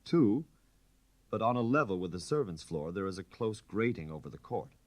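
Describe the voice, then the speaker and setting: A man playing a flustered, low-voiced nobleman. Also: big pitch swings, normal speed. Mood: firm. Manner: insistent, stammering when shaken. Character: anxious aristocratic French count, a husband, easily flustered.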